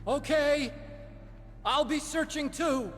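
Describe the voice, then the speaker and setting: A woman's voice: high-pitched, slow. A woman voicing an earnest, vulnerable young soldier in a video game, with an emotional, pleading manner. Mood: anguished. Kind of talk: dramatic video game voice acting. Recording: music behind the voice.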